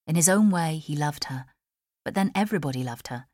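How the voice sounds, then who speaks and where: A woman's voice: low-pitched, expressive, a little fast, darkish tone, dry recording, clean studio sound. A woman with a British accent reading a novel as a scripted audiobook narration. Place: studio.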